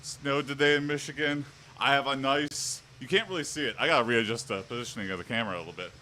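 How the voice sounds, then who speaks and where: A man's voice: medium pitch, fast, sharp tone, slight background noise. A man hosting a casual livestream from a room at home, chatting and answering viewers while he exercises on a bike, his mic set a little way off. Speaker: livestream host. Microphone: standing mic a little way off.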